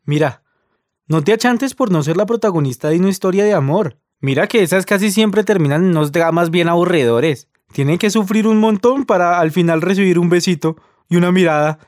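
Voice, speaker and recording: Man playing a high-voiced, easygoing Colombian flirt. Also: a little fast, darkish tone, dry recording, clean studio sound, lightly acted. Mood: soft, persuading. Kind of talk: radio soap opera acting, colloquial.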